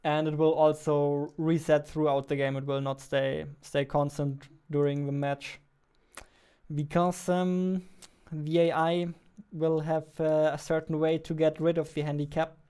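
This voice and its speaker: Clear, higher-voiced young man, chatting playfully. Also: level tone, measured pace, mellow. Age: 20s. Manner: playful, joking. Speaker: game developer streaming. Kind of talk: casual livestream chat while working. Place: indoors, small home room. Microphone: studio microphone on boom arm, headphones.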